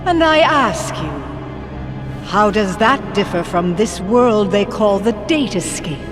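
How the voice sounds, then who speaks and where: A woman's voice: mid-range voice, expressive, takes it slowly, music in the background. A woman playing a regal, scheming evil sorceress in a video game, haughty and theatrical with formal diction. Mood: scheming, grand. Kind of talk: animated video game villain acting.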